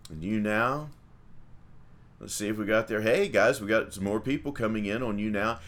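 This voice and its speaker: A man's voice: lowish voice, normal speed, fairly bright tone. A man, a priest leading livestreamed morning prayer and chatting in a folksy way with viewers.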